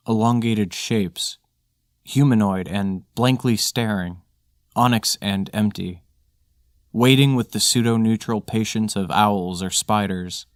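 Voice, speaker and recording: A man's voice: lowish voice, dry recording, studio quality. A man reading scripted material as the narrator and host of a fiction podcast.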